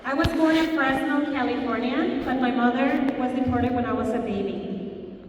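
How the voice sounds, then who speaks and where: A woman from Mexico, giving an earnest personal motivational speech at a school in a slow storytelling manner with emphatic pauses. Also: sits high, a little mellow, a little hiss or hum. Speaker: guest speaker at a school. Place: large school hall. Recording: echoey hall, voice from far off.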